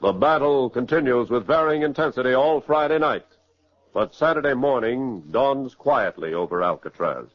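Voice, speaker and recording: A man's voice: medium pitch, expressive, normal speed. A man giving grave, formal scripted narration for a 1940s radio crime drama, on a muffled old recording.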